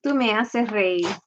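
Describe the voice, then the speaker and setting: Chatty bilingual woman on a craft stream. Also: on the high side. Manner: chatty, bilingual, slips into Spanish phrases. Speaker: craft tutorial host on a live stream. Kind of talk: casual live-stream chat while crafting. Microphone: desk mic over an overhead craft camera. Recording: slightly dull audio with faint hiss.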